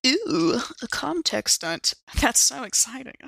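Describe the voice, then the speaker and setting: A woman's voice: fairly high pitch, big pitch swings, a little slow, gravelly, a bit bright, no echo. A woman chatting casually and enthusiastically as a player in a tabletop game.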